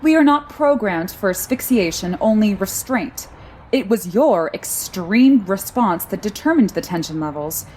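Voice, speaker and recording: A woman playing a calm, controlling car computer in an audio drama, formal and clinical, coldly protective and always politely commanding. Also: medium pitch, measured pace, slight background noise, close to an everyday voice. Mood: coldly insistent.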